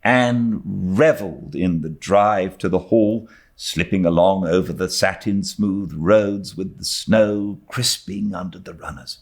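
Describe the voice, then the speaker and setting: A man with a British accent reading a classic novel aloud as an expressive audiobook narrator. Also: sits low, unhurried, few pauses. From Britain. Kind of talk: expressive literary story narration.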